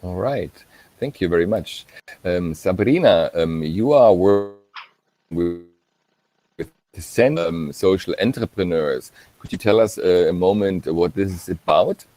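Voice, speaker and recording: A man's voice: sits low, expressive, measured pace, dark tone. A man hosting an informal video-call panel, talking hesitantly with many ums and uhs. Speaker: panel host. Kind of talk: informal online panel talk.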